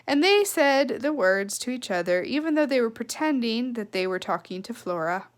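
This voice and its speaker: A woman reading a children's novel aloud with expressive, emphatic phrasing and casual asides. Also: fairly high pitch, normal speed. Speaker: read-aloud storyteller.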